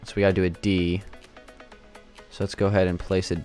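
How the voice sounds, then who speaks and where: A young man with a slightly raspy, sore throat, thinking aloud with lots of fillers as he builds in a game. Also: sits low, level tone, a little fast, darkish tone, soft background music. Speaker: gaming channel host. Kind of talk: casual gameplay commentary.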